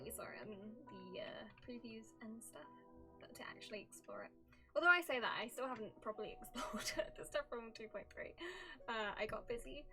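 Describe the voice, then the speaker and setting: A woman giving casual, playful live commentary as she streams a story game, with chatty, sarcastic asides. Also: fairly high pitch. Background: faint game music.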